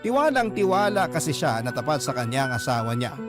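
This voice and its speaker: A man's voice: medium pitch, sing-song, brisk. Man narrating over prominent music. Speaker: radio drama narrator. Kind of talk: scripted first-person story narration.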